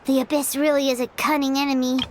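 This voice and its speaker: A woman voicing an excitable tiny female floating companion who talks about herself in the third person, with a high squeaky childlike voice in game audio with background music. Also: fast talker, slight background noise, close to an everyday voice. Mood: excited. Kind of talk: game voice acting.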